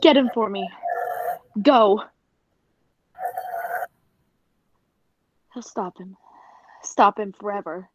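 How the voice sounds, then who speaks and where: A woman playing a jealous, cold-blooded circus performer in a 1940s radio drama, deliberate and scheming, on a muffled recording. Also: medium pitch, slight background noise, natural voice. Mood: cold, menacing.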